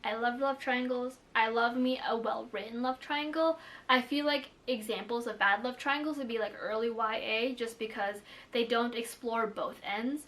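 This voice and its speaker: Chatty young woman booktuber, slightly high. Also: steady tone, normal speed, a bit bright. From Philippines. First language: Tagalog. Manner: chatty, many "like" fillers, self-deprecating. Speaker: book vlogger. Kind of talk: casual chat to camera.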